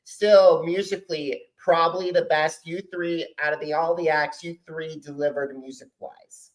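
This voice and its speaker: A man's voice: on the high side, steady tone, moderate pace, low-quality recording. A man hosting a podcast interview, talking like an enthusiastic fan, in bursts with many restarts. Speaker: podcast host. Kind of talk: casual interview chat.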